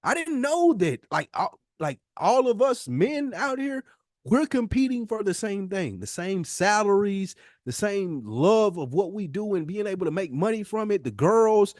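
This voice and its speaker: A man's voice: sits high, very animated, measured pace, a little mellow, no echo, studio quality. A man with an American accent speaking casually and emphatically as a podcast host, using slang and swearing. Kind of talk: casual, impassioned discussion.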